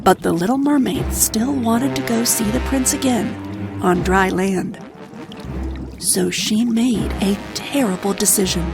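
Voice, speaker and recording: A woman's voice: medium pitch, unhurried, gravelly, loud music behind the voice. A woman giving a scripted narration of a children's fairy tale.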